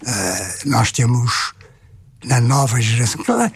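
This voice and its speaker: Husky older Portuguese man, reflective. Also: medium pitch, lively intonation, a little slow. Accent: European Portuguese. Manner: thoughtful, many hesitations, tag questions. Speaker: retired publisher. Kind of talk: conversational interview answers.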